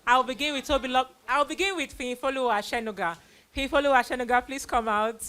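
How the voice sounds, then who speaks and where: Middle aged lady hosting a kids church play, high bright forceful voice, animated, thanking performers informally. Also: fast talker. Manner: animated, addressing children and audience. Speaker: host presenting child performers of a church play. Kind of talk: informal stage vote of thanks.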